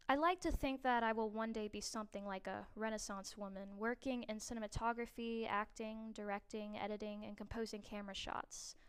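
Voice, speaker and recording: A woman's voice: medium pitch, smooth. A young woman from New Orleans, a university student, reading her own prepared personal narration in an earnest way. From New Orleans, USA. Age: young adult.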